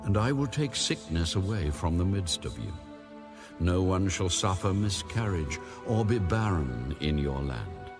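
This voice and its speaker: A man narrating scripture in a solemn, measured, authoritative way, like an audio Bible. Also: deep voice, very animated. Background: background music.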